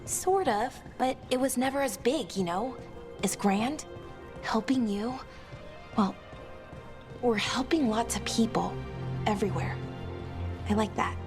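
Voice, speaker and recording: An actress voicing an earnest, gentle young female artifact expert in a video game, speaking conversationally with slight hesitancy. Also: on the high side, unhurried, long pauses, music in the background, close to an everyday voice. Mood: earnest, grateful.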